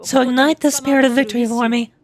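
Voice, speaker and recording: Actress as high-voiced, resolute woman leader. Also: steady tone, fast talker, lightly acted. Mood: rallying, resolute. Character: resolute, grieving female leader rallying her warriors. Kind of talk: dramatic video game dialogue.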